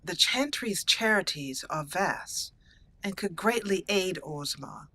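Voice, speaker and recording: Woman voicing a bright, proud exiled noblewoman. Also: on the low side, animated, exaggerated voice. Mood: earnest, persuasive. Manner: formal, deliberate. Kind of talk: roleplayed video game dialogue.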